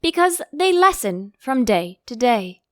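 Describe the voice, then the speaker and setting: A woman voicing a blunt, excitable male gryphon in a storybook, emphatic and scoffing. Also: on the high side, measured pace, no room sound, studio quality, voice pushed up. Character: brusque, scornful, excitable male Gryphon beast. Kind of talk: audiobook character voice.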